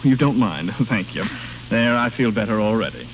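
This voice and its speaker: Eager, florid American waiter, old radio. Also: male voice, fairly low pitch, animated, normal speed. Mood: nervous, obliging. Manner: florid, pleading, servile politeness. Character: earnest, clumsy, ardently flattering American hotel waiter. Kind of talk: 1930s-40s radio comedy acting. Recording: dull, noisy old radio broadcast.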